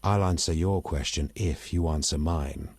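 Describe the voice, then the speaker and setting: A man playing a proud, bitter elf rebel in a video game, articulate and measured, with open disdain. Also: very deep voice, a little mellow, husky, no echo, heavily acted. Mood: bitter, reflective. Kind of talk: video game dialogue acting.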